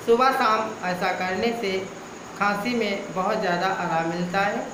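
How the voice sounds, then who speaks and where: A man speaking as an Ayurvedic healer, explaining a home remedy and listing ailments one after another in a repetitive way. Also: sits high. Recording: echoey room with background noise.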